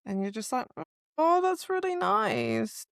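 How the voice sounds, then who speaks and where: A woman's voice: a little high, huge pitch swings, measured pace, no room sound, studio quality. A woman co-hosting a podcast in casual book chat, retelling scenes and acting out short quotes. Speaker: podcast co-host.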